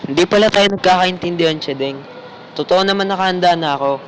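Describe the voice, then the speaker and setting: A thrifty young Filipino husband played by an actor in a radio drama, with a fairly high voice. He reasons politely but stubbornly. A little noise sits behind him. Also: fast talker, close to an everyday voice. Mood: exasperated reasoning. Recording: faint background noise.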